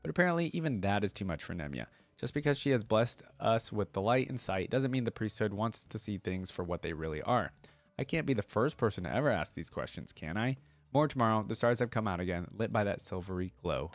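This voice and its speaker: A man's voice: on the low side, expressive, brisk, no room sound. A man hosting a reaction video, reading story text aloud and commenting casually. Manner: informal, thinking aloud.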